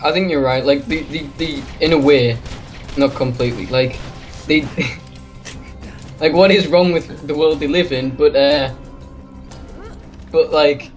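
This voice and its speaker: A man's voice: a little high, takes it slowly, long pauses, faint music behind. A young man talking casually as a co-player during a game. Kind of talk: casual chat while playing a game.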